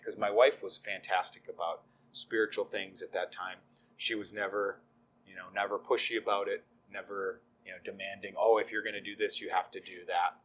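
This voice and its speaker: A man's voice: deep voice, a bit bright, husky, rough recording. A middle-aged man, a deacon, telling his faith story in an easygoing, self-deprecating way with frequent ums. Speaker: deacon, guest. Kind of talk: conversational faith testimony.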